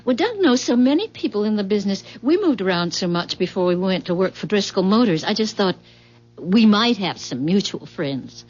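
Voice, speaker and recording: An actress playing a polite, sociable young wife in a 1970s radio drama, talking in a courteous, gently inquisitive way. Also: female voice, mid-range voice, sing-song, normal speed. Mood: curious. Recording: dull, muffled 1970s radio broadcast recording.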